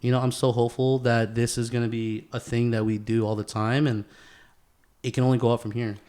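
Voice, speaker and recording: A man's voice: medium pitch, level tone, brisk, dark tone. A man hosting a casual podcast chat in a garage, speaking informally with slang and swearing. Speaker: podcast host.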